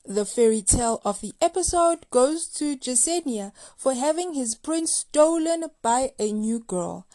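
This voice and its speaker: A woman's voice: high-pitched, animated, measured pace, few pauses, warm tone, no room sound. A woman hosting a recap show, reading scripted commentary in a playful, gossipy, slangy way. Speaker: host of a reality TV recap show.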